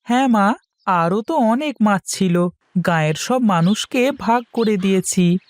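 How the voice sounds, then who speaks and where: A woman's voice: mid-range voice, expressive, unhurried, lightly acted. A woman voicing a polite, eager young village boy in a cartoon, with an earnest manner. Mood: cheerful. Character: young village boy, eager, respectful, mud-covered fisher. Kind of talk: cartoon voice acting.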